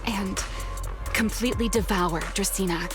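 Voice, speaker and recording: A woman's voice: medium pitch, a little slow, close to an everyday voice. A woman playing a composed, teasing young woman fighter with a hidden past, in theatrical video game acting. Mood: composed, explaining. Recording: music under the voice.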